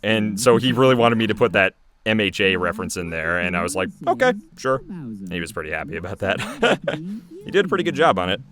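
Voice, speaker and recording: Low-voiced man giving casual commentary. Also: sing-song, brisk, a crowd in the background. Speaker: animator and show creator.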